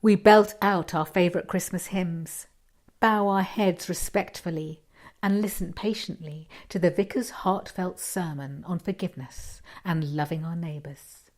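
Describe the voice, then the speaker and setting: A woman narrates a first-person short story in a slightly low voice. Her reading is expressive, shaped by dramatic pauses and hushed asides.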